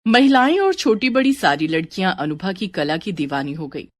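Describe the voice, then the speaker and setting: A woman's voice: on the low side, expressive, moderate pace, no echo. A woman reading a scripted story as its narrator.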